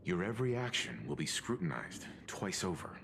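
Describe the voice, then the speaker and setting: A man playing a grave, perceptive adventurer in a video game, speaking in a solemn, deliberate and formal manner. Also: low voice, big pitch swings, vocal fry, background noise, heavily acted. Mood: stern, probing. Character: grave, stern, perceptive male adventurer ally.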